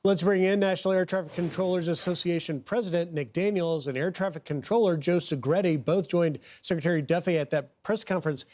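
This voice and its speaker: A man's voice: high-pitched, brisk, hardly any pauses, a little mellow. A man hosting a broadcast news show, introducing guests and putting questions to them in an interview.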